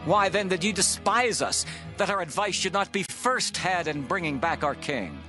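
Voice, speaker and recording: A man's voice: sits high, animated, moderate pace. An actor playing a proud, resentful tribesman in a dramatized scripture, declaiming argumentatively. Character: proud, resentful Israelite tribesman arguing his claim. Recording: music behind the voice.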